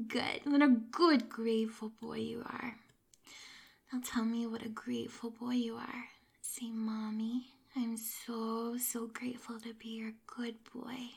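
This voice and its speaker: High-pitched woman, slow breathy whispers. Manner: soft, breathy, often whispering close to the mic; coaxing, slow and drawn-out. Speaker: erotic audio performer. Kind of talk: intimate whispered roleplay audio.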